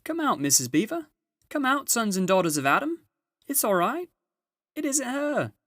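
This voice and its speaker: Storybook beaver, male, excitable and delighted, high-pitched shouting , performed by a man reading aloud. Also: normal speed, no room sound, studio quality, full character voice. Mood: excited, triumphant.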